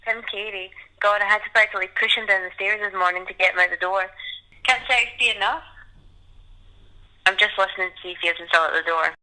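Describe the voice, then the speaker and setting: Actress as bright, quick-talking frank young woman poet. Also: mid-range voice, low-quality recording. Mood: wry relief. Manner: intense, sardonic, swearing. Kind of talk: naturalistic radio-play dialogue.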